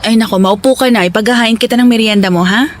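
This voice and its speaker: An actress playing a caring, quietly suspicious wife and mother in a Tagalog radio drama, with a gentle, homely way of talking. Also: fairly high pitch, fast, lightly acted. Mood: caring, motherly.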